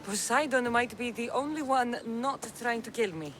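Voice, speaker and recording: A woman's voice: fairly high pitch, normal speed, a bit bright, slight background noise, natural voice. A woman acting a tough, guarded young Greek warrior in a video game, blunt and defiant in manner.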